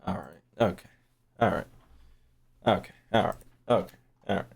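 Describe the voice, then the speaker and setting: A man's voice: deep voice, normal speed, gravelly, husky. A man giving casual gaming-stream reaction commentary, slangy and profane, often repeating himself.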